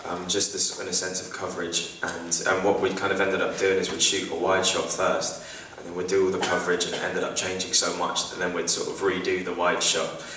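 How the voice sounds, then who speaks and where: A young man on a theatre panel, answering questions in a halting way full of fillers. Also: deep voice, completely flat delivery, sharp tone, slight background noise. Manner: halting, many fillers and restarts. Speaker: actor on a festival panel. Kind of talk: post-screening Q&A answers. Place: large theatre. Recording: echoey theatre from far off.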